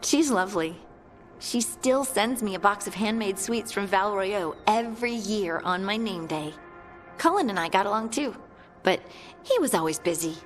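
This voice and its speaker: A woman playing a warm, earnest young scout in a fantasy video game, chatting in a friendly, conversational way. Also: medium pitch, natural voice. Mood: fond, amused. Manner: chatty, friendly, lightly amused.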